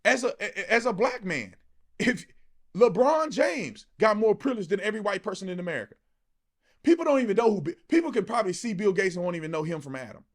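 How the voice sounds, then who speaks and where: A man's voice: sits high, lively intonation, quick pace. A man giving an impassioned, colloquial opinion monologue, emphatic and repetitive, often throwing out rhetorical questions. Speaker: political commentator.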